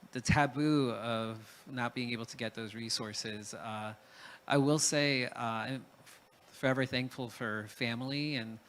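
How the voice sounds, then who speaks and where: A man's voice: medium pitch, fairly bright tone, slight background noise. A man from Peru speaking as a panelist in a reflective talk, hesitant, with many fillers and restarts.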